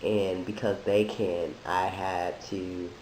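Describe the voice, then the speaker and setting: A man who is sick, talking in a halting, thoughtful way with many restarts, in a reflective personal monologue. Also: low voice, flat delivery, a little mellow. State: sick, voice deeper than usual. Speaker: vlogger sharing a transition update. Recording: echoey room with faint hiss.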